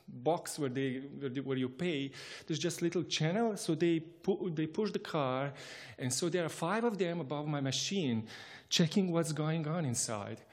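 A man from Bosnia and Herzegovina telling a personal travel anecdote in English, chuckling often and restarting his sentences. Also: a little high. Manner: chuckling, halting, with frequent restarts. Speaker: storyteller.

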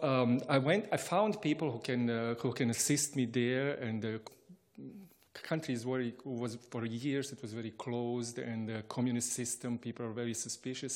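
A man from Bosnia and Herzegovina telling a personal travel anecdote in English, chuckling often and restarting his sentences. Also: mid-range voice. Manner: chuckling, halting, with frequent restarts. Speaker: storyteller.

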